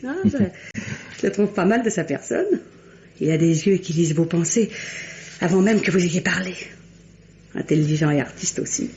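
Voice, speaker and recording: An actress playing a chatty, saucy, coquettish young French chambermaid in a vintage radio mystery. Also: female voice, fairly low pitch, expressive, normal speed, long pauses, darkish tone, a little hiss or hum. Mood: gossipy, coquettish. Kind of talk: mid-20th-century French radio mystery acting. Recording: muffled vintage radio recording.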